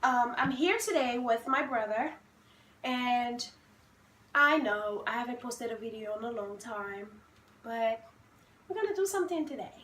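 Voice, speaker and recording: A young woman from Haiti with a high, bright voice chats at an easy, unhurried pace. She is playful and self-assured and laughs often. The room adds a noticeable echo. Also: animated. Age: 20s. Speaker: vlogger host. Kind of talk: casual sibling Q&A chat.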